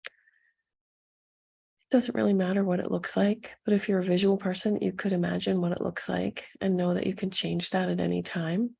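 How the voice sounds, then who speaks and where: A woman leading a guided meditation as a coach, speaking softly and soothingly to her listeners with a gentle, husky delivery. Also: on the low side, level tone. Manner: soothing, gentle, unhurried instruction with pauses.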